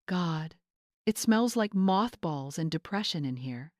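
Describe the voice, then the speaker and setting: A woman's voice: fairly low pitch, lively intonation, unhurried, a little mellow, no room sound, studio quality. A woman voicing a smug young social-climbing bride, condescending and affected in an audiobook story. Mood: snobbish, self-important. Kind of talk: dramatized audiobook character voice.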